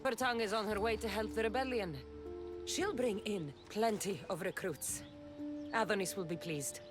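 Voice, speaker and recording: A woman's voice: medium pitch, normal speed, over music, lightly acted. A woman playing a confident, blunt warrior heroine in a video game, direct and matter-of-fact. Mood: questioning, defiant. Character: confident, blunt female warrior hero, questioning and helpful. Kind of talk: video game cutscene acting.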